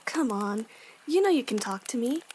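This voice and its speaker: Woman acting as Sango, high-voiced frank young warrior. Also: expressive, moderate pace, a little mellow, a little hiss or hum, lightly acted. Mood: reassuring. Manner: encouraging, frank, big-sisterly. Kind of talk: fan audio drama acting.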